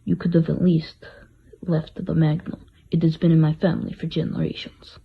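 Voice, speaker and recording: Guy acting a stern old-school servant type, raspy, formal, dull muffled audio, radio play. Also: a little high, flat delivery, normal speed, heavily acted. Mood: accusing, grave. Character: stoic ex-military Russian butler, protective and grave man. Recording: muffled, dull microphone sound.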